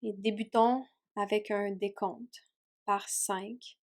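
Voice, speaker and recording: Slow, gentle woman guiding meditation. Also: medium pitch, steady tone. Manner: gentle, soothing, with long pauses. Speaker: yoga teacher guiding a relaxation. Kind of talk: guided meditation.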